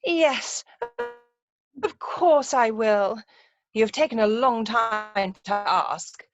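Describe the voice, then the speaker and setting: A woman's voice: on the high side, takes it slowly, dry recording, soft background music. A woman playing a self-assured, romantic young upper-class lady in an amateur play read-through, speaking precisely and emphatically over a video call. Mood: coyly insistent. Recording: muffled, dull video-call audio.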